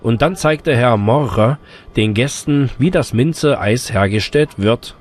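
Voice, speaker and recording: A man reading a scripted voice-over for a regional news report in a measured tone. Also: medium pitch. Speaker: news narrator.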